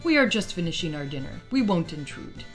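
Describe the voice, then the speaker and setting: A woman's voice: on the low side, sing-song, fairly quick, soft background music. A woman playing a courteous, protective adventurer in a fantasy audio story, firm and reassuring.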